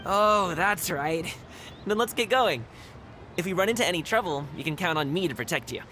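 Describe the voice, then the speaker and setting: A friendly young guard in a video game, his voice sitting high and swinging up and down with cheer. He laughs easily and talks in an easygoing way, over clear game music. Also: lively intonation, normal speed. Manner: warm, easygoing, laughs often.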